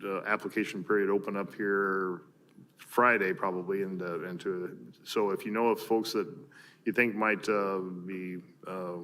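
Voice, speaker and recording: An American man giving an informal meeting report in a halting way, with frequent fillers. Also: low-pitched, little pitch movement, fairly bright tone. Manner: halting, filler-heavy delivery. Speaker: mayor giving board updates at a council meeting. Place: large council chamber, indoors. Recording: clear background room noise from meeting chamber.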